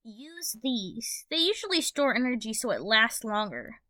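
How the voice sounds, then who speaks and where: Bright, animated woman storyteller. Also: a little high, unhurried, no echo, clean studio sound. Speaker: narrator of a fan fiction audio play. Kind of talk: scripted storytelling narration.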